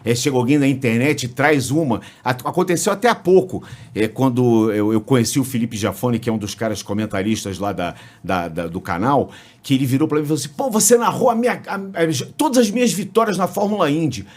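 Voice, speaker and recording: A Brazilian sports commentator with a Brazilian Portuguese accent, a podcast guest telling lively stories with restarts and jokes. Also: male voice, medium pitch, brisk, few pauses. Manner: animated storyteller, frequent restarts, jokes. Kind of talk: casual interview storytelling.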